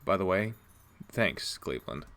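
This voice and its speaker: A man voicing a troubled, guarded teenage boy athlete in a low, subdued way. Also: animated, a little slow, fairly bright tone. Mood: quiet.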